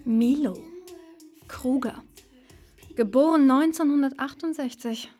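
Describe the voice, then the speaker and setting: A woman's voice: sits high, slow pace, darkish tone. An actress playing a cool, terse, flirtatious woman in a radio drama, speaking in clipped phrases. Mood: cool, businesslike. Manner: terse, direct. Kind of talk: naturalistic radio drama dialogue.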